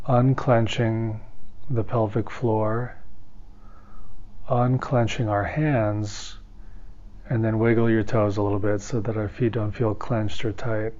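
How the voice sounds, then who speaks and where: A man leading a guided meditation as a teacher, giving calm, gentle instructions slowly with long pauses. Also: fairly low pitch, muffled recording.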